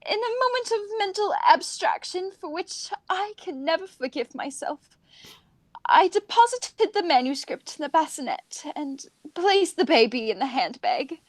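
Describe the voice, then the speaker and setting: A woman as a high-pitched, prim, flustered governess. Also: sing-song, unhurried, no echo, full character voice. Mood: ashamed, confessing. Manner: earnest, proper, overwrought. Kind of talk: radio play acting.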